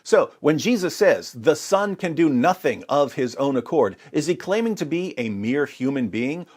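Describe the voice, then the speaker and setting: A man presenting scripted religious commentary and scripture readings in a dramatic, rhetorical manner. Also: medium pitch. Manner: dramatic, rhetorical, sarcastic mock alarm. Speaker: apologetics presenter.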